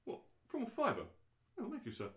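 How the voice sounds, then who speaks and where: Guy doing a friendly-deferential barman, bouncy pitch, small talk, roomy echo. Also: huge pitch swings, brisk, heavily acted. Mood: chatty, obliging. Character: chatty, deferential male pub barman. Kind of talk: amateur radio play reading. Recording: roomy, echoing live reading.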